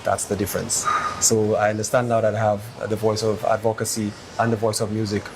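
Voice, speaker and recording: A man in his twenties, a singer and reporter, answering interview questions in a measured, reflective and earnest way. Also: lowish voice, level tone, darkish tone. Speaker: guest vocalist and reporter. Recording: noisy background hiss.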